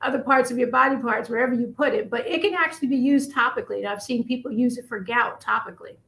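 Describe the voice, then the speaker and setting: A woman's voice: a little high, quick pace, gravelly, a bit bright, poor audio. A woman in her fifties, a family physician and acupuncturist, talking earnestly as a radio interview guest. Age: 50s.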